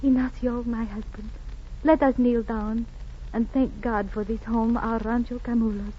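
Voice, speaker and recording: An actress playing a tender, devout young rancho bride in a 1940s radio drama. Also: female voice, on the high side, fairly even intonation, a little slow, a little hiss or hum, natural voice. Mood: reverent. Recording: dull vintage radio recording.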